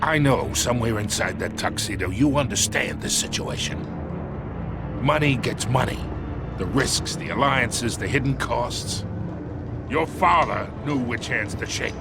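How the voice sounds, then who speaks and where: A smug video game mob boss. His pitch is mid-range but swings widely as he swaggers through slangy, folksy threats. Music plays clearly behind him. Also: expressive, unhurried. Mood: menacing. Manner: slangy, swaggering, mock-polite then threatening.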